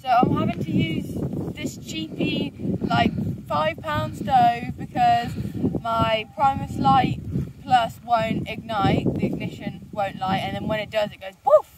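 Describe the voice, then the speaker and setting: Young woman, casual vlog, upbeat and chatty, slightly high voice, handheld camera outdoors. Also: steady tone, unhurried, very noisy. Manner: chatty, laughs often, upbeat. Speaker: vlogger. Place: outdoors on a mountainside by a lake. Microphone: handheld camera selfie.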